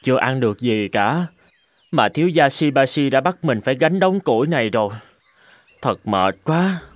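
A man's voice: medium pitch, animated, moderate pace, no echo, natural voice. A man voicing a tired, kind young servant in a children's cartoon, speaking in a weary way.

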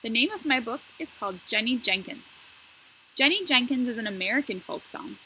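A woman in her thirties talking to first graders as a guest story reader, explaining things simply and in an animated way. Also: a little high, fairly quick, a little hiss or hum, low-quality recording. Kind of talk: addressing young children, explanatory read-aloud intro. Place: outdoor covered patio.

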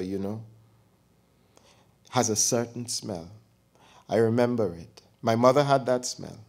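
Man doing an old aunt, higher voice, teasing, theatrical, gossipy, storybook. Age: older. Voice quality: teasing, theatrical, lapsing into dialect. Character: sly gossipy elderly aunt, a woman.

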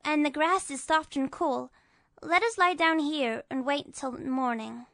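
A man's voice: very high voice, a little slow, vocal fry, pushed and bright, pitched above the performer's own voice, full character voice. A man voicing a polite young boy adventurer in a storybook, meek and earnest in manner. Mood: weary.

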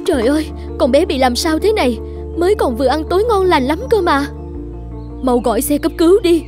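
A woman's voice: high-pitched, expressive, a little fast, music in the background. A woman voicing a kindly, compassionate orphanage carer in a cartoon, with a sympathetic, worried manner. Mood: alarmed. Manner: sympathetic, caring. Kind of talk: animated children's story dubbing.

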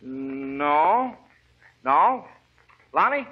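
A man's voice: on the high side, very animated, very slow, breathy edge. An American man hosting a 1940s radio quiz in a folksy, encouraging, avuncular way. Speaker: quiz show host. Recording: dull 1940s radio recording.

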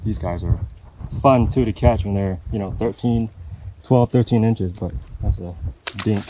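A man's voice: lowish voice, a little slow, poor audio. A man casually narrating while he fishes outdoors from a bridge, talking to the viewers. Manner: relaxed, chatty, explains as he fishes. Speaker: fishing vlogger. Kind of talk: casual vlog commentary. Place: outdoors on a bridge over open water. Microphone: action camera on the body. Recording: outdoor camera audio with loud wind noise.

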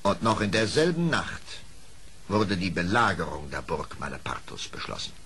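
A man narrating an old audio play as a storyteller, measured and expressive, in dramatic scripted style. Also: low voice. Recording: faintly hissy old audio play record.

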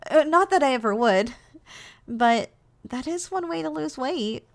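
A woman playing a doting, gentle giantess secretary in an ASMR roleplay, speaking in a caring, softly teasing, slightly hesitant way. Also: sits high, animated, unhurried. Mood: curious, playful.

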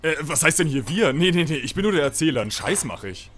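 A man's voice: on the high side, sing-song, fast, fairly bright tone, a little hiss or hum. Man playing a swooping, mock-solemn parody narrator. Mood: flippant, bickering. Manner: mock-solemn, dryly flippant. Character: audio-drama narrator, mock-solemn, flippant, bickering with the hero. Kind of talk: parody radio play narration.

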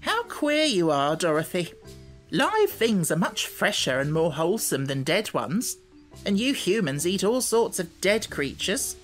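A woman voicing a brisk, self-assured talking hen in a storybook, with a matter-of-fact, argumentative manner. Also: fairly low pitch, big pitch swings, soft background music, voice pushed up. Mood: puzzled, argumentative. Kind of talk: storybook character voice.

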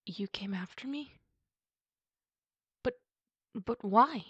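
A woman's voice: husky, no echo, highs cut off, exaggerated voice. A woman playing a distraught, ashamed werewolf girlfriend in an ASMR roleplay, stammering and apologetic, with sighs breaking up her words. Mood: weary, apologetic. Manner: halting, stammering, sighing. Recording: steady rumbling background noise.

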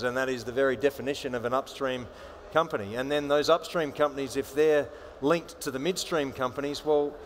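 A man's voice: medium pitch, a little hiss or hum. Assertive man speaking on a panel. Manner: fluent, assertive, with small restarts. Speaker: panelist, banker. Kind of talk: conference panel talk. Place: large conference stage with audience.

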